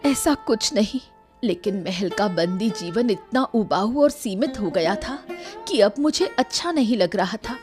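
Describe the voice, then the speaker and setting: A woman's voice: medium pitch, expressive, unhurried, over music, lightly acted. A woman voicing a sweet, eager young queen, affectionate and earnest, in a cartoon story. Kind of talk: Hindi cartoon moral story dialogue.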